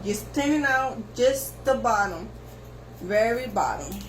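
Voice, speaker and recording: A woman hairstylist whose first language is Spanish, giving slow step-by-step instructions for a haircut, with lots of repetition. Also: fairly high pitch, expressive, slight background noise. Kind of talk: haircut tutorial narration. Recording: echoey room with faint ambience.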